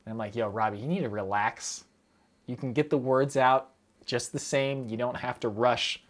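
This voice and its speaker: A young man chatting casually on a live stream, rambling quickly and breaking off his own sentences as he answers viewers. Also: mid-range voice, fairly even intonation. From United States. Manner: rambling, quick, self-interrupting with fillers and laughs.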